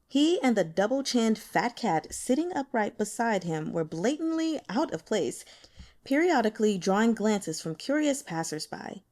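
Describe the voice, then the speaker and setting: Woman, audiobook narrator, lively varied pitch, expressive storytelling, scripted fiction reading. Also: normal speed. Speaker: audiobook reader.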